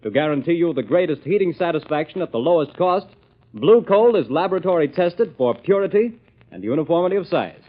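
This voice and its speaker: A male announcer gives a polished, emphatic sales pitch in old-time radio style. His fairly high voice swings animatedly up and down. The recording is dull and dated. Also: expressive, a little fast. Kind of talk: vintage radio advertising read.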